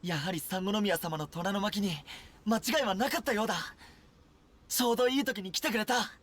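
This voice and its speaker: Brisk, earnest young army captain. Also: male voice, high-pitched, fairly bright tone. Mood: relieved. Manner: crisp, military, formal recitation and briefing. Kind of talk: Japanese anime game voice acting. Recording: game dialogue over background music.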